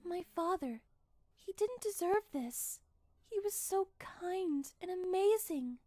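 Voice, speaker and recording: A woman voicing a gentle, earnest young cartoon pony princess who pleads soft-heartedly. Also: very high-pitched, animated, takes it slowly, no room sound, full character voice. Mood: grieving, upset.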